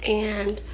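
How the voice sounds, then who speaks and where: Hoarse, coughing woman, slow and tired. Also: medium pitch, a bit bright, a little hiss or hum. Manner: tired, frustrated, drawn-out speech. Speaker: vlogger. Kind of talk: casual vlog chat.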